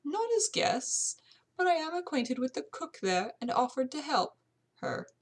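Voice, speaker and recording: A woman's voice: sits high, sing-song, measured pace, pitched above the performer's own voice. A woman voicing a proper, tearful older English housekeeper with a put-on British accent, in an audiobook-style story. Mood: tearful, earnest. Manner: proper, deferential, old-fashioned servant speech. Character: tearful prim elderly English housekeeper, loyal servant.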